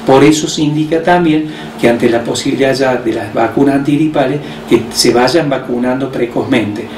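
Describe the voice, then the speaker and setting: A man with an Argentine accent, a hospital director who explains health measures on the radio in a measured way, with frequent hesitations. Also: mid-range voice. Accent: Argentine Spanish. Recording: roomy distant mic with faint noise.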